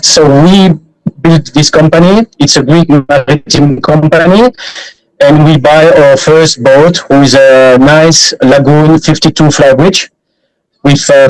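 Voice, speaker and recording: A man from Belgium, a native French speaker with a Belgian French accent, presenting his yacht charter on a video call with many pauses and fillers. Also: on the high side, dry recording, rough recording. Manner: hesitant, many fillers, non-native phrasing.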